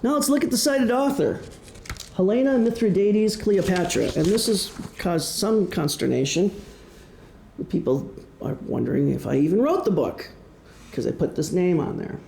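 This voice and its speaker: Midwestern american guy doing a book talk, gravelly fry in a soft mellow voice, explains things with pauses. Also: high voice, expressive, slight background noise. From Wisconsin, United States. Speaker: author presenting his novel. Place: indoor presentation room. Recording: echoey room.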